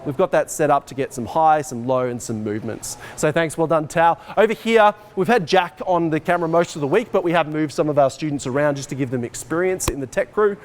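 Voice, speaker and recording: A man's voice: on the high side, brisk, hardly any pauses. A man in his forties, a teacher, giving an informal walk-through technical explanation to camera in a hall. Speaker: teacher, head of arts and IT department. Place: large dark school assembly hall, indoors. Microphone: camera microphone a short distance away. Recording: noisy hall ambience on camera mic.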